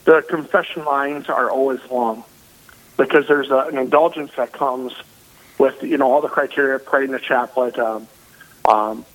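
A man, a parish priest, speaking loudly and energetically as a guest on a radio interview. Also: mid-range voice, moderate pace, rough recording. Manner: loud, energetic and emphatic.

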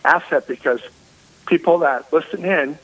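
Energetic male pastor, big loud voice, higher pitch, radio call sound. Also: moderate pace, poor audio. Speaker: parish priest. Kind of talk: radio interview by phone.

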